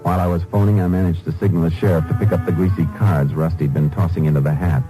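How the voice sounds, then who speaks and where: Actor as deep-voiced, shrewd Texas Ranger. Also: male voice, music in the background, close to an everyday voice, rough recording. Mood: measured narrating. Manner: measured, probing, deadpan. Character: calm shrewd male Texas Ranger investigator, laconic. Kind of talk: 1950s radio drama acting and narration. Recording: old 1950s radio broadcast recording.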